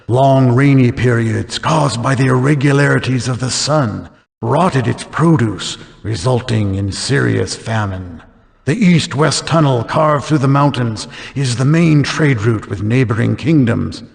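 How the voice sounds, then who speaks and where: An actor playing a solemn storyteller, giving measured scripted narration for a fantasy video game in a reverberant sound. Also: male voice, medium pitch, gravelly. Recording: long hall-like reverb.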